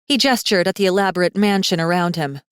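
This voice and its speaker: A woman narrates a fantasy audiobook in a scripted storytelling style. Her voice sits a little low, recorded close and dry with no room sound. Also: quick pace, smooth, no room sound.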